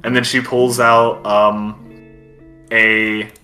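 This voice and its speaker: Bright-voiced game master. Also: male voice, lowish voice, flat delivery, very slow, faint music behind. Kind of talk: narrating and explaining a tabletop roleplaying game.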